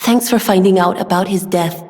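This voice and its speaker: A young woman voicing a gentle, earnest, polite weapons smith in a video game, soft-spoken and given to sighs. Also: medium pitch, normal speed, lightly acted. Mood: sad, resigned. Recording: long hall reverb.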